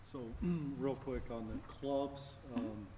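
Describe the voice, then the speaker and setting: A man's voice: medium pitch, takes it slowly, a crowd in the background. A man on a school board asking friendly follow-up questions, with stammering restarts. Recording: echoey hall with clear noise.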